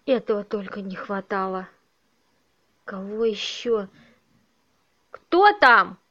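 A woman's voice: medium pitch, takes it slowly, fairly bright tone, highs cut off, slight background noise. An actress in an amateur audio play as an exasperated but kind-hearted single woman, earnest and fretful.

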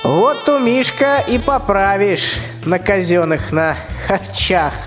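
An actor playing a pompous, threatening old tsar in a rhymed fairy-tale radio play. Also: male voice, sits high, lively intonation, slow. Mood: scolding, menacing. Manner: pompous, colloquial rhymed speech. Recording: long hall reverb, music behind the voice.

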